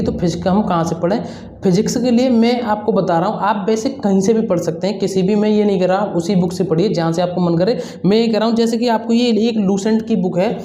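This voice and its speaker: A man's voice: high-pitched, warm tone. A young man giving informal, explanatory exam advice to students, often repeating his points. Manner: repetitive, explanatory, advising. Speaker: exam-prep advice presenter. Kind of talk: informal instructional talk to students. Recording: roomy echo, dull lo-fi sound.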